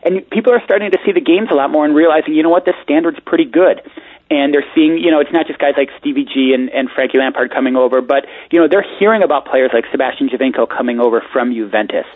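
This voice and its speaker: An American man who works as a sports media consultant, talking quickly and chattily as a radio guest. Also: fairly high pitch, no echo. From United States. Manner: fast, chatty, anecdotal. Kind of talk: radio talk interview. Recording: tinny phone line.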